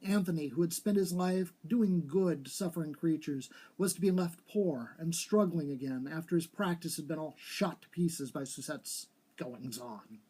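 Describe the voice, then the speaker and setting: A man acts out an eerily composed young woman from a ghost story. She speaks evenly and with cold authority, in a soft-edged, mellow, husky voice. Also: on the high side. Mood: calm, bitter confession. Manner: even, authoritative, deliberate. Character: slim dark young woman, calm, eerily confessing a killing. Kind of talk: storybook character reading.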